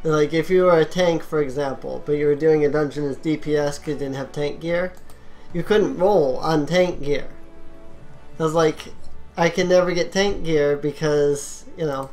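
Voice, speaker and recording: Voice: mid-range voice, steady tone, normal speed, darkish tone. A gaming video host chatting casually during gameplay, explaining at length in a rambling, anecdotal way. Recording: game music behind voice.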